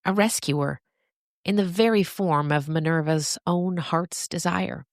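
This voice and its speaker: A woman with a low voice tells a story as a podcast narrator. Her phrasing is expressive and deliberate, with dramatic pauses, in a close, dry recording. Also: dry recording.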